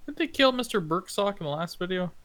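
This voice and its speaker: A man's voice: high-pitched, animated, quick pace, a bit bright. A man giving casual, joking commentary while watching videos.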